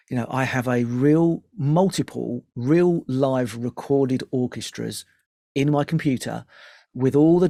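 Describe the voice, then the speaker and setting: A man with a noticeably creaky, gravelly voice at a middle pitch, recorded very dry. He talks conversationally as an interview guest, explaining his work with pauses mid-sentence and emphatic repetition. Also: mellow, no room sound. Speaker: guest, composer and music producer.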